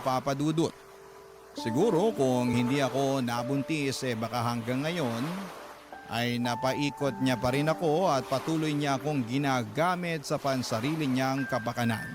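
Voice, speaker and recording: A man with a Filipino accent narrating a scripted first-person letter for a radio drama, earnest and sentimental. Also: mid-range voice. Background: music bed.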